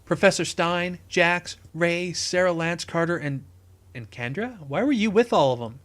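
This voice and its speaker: Story reading: male narrator as exasperated young techie, pitched up, emphatic, sarcastic. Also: measured pace, a bit bright. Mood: demanding. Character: excitable, indignant young man, reluctant tech whiz.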